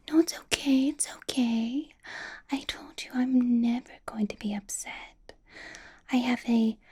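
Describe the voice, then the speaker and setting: A woman playing a doting, possessive and sweetly menacing female vampire boss in a whispered ASMR roleplay, with slow, breathy delivery. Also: fairly high pitch, full character voice. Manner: breathy, slow whispering with soft little laughs.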